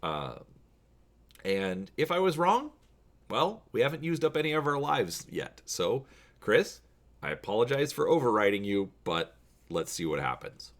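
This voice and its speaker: A man's voice: mid-range voice, very animated, a little slow. A man hosting a story read-along, addressing viewers directly in a casual, emphatic way and reading narration aloud. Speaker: host reading a choose-your-own-adventure story.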